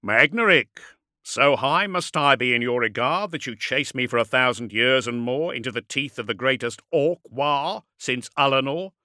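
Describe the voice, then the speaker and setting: A man's voice: medium pitch, animated, normal speed, pushed and bright, no echo, clean studio sound. A man voicing an ancient villainous warsmith in an audiobook, mocking, cunning and defiant, with articulate, projected taunts. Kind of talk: audiobook character acting.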